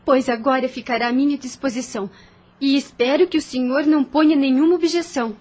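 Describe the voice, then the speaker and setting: An actress with a Brazilian accent playing an earnest, anxious young mistress of a plantation house in an audio drama. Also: female voice, sits high, steady tone, fairly quick, darkish tone, close to an everyday voice. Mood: firm, formal. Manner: earnest, hesitant when uneasy.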